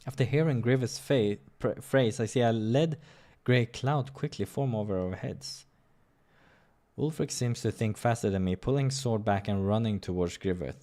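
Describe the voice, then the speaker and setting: A man's voice: on the low side, normal speed, mellow. Man reading a visual novel aloud. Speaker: host of a visual novel playthrough.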